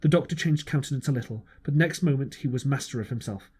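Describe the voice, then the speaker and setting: A man's voice: medium pitch, fast, a little mellow, slightly rough. A man narrating a first-person audiobook, an amateur reader who now and then stumbles over words.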